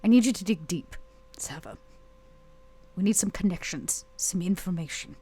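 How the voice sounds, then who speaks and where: Woman doing a proud bitter vampire lady for a tabletop rpg, lowish voice, guarded, clipped, lots of heavy pauses. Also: mellow, breathy edge. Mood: commanding. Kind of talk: in-character tabletop roleplay.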